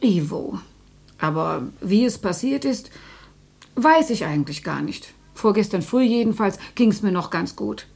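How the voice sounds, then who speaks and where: An actress playing a matter-of-fact older housewife in a radio play, with a husky voice, often muttering as if to herself. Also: female voice, medium pitch, lively intonation, a little slow, darkish tone, exaggerated voice. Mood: polite. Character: matter-of-fact grandmotherly housewife who learns she is dead.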